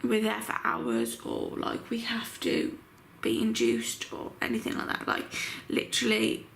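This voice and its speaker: Croaky-voiced young pregnant woman vlogging. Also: a little high, sing-song, measured pace, fairly bright tone, a little hiss or hum. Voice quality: croaky morning voice. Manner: chatty, with constant fillers. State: heavily pregnant. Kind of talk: casual vlog chat. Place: home bedroom.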